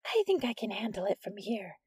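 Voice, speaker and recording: A woman voicing a nervous female schoolteacher who speaks in a high, fluttery, placating way. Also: big pitch swings, fairly quick, a little mellow, husky, no echo, studio quality.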